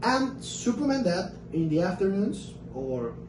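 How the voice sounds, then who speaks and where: Spanish-speaking man teaching, high voice. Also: measured pace, reverberant, far from the mic, background noise. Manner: energetic, emphatic repetition, talks in short bursts. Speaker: English teacher and fluency coach. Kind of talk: casual vlog lesson.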